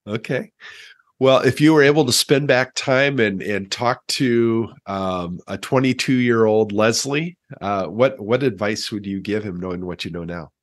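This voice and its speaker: Deep-voiced man hosting a podcast, close and dry. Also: a little slow, no echo. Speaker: podcast host and interviewer. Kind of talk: podcast interview, conversational.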